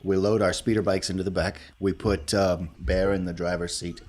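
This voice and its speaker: A man's voice: deep voice, little pitch movement, moderate pace, a little mellow. A man talking casually as a player in a tabletop role-playing game.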